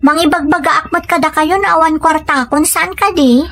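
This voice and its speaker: A woman acting as a dutiful Filipino daughter still in her studies, in an audio drama. Her voice is notably high, and her manner is modest, polite and grateful. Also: steady tone, fairly quick, fairly bright tone. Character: dutiful young female student, grateful daughter.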